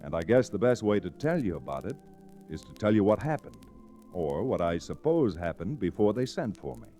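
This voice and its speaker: A man's voice: low voice, big pitch swings, dark tone, soft background music. An actor playing an easygoing American frontier lawyer who narrates his tale in a genial, folksy, old-time radio manner. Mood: genial, wry. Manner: folksy, unhurried storytelling. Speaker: lead character and narrator. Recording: restored vintage radio recording.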